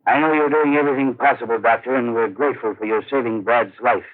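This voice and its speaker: An actor playing an earnest, authoritative army general in a 1950s radio drama, brisk and weighty. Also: male voice, medium pitch, steady tone, lightly acted. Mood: concerned. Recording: muffled old radio recording.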